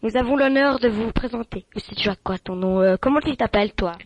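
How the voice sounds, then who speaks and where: A woman playing a hurried presenter who opens a show in a fake-formal way during an improvised sketch. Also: a little high, muffled recording, noisy background.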